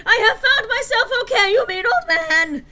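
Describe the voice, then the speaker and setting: A woman voicing a blustering, hot-tempered man in a melodramatic reading, overwrought and yelling with stretched words. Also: extremely high pitch, lively intonation, normal speed, pitched above the performer's own voice, heavily acted. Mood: outraged.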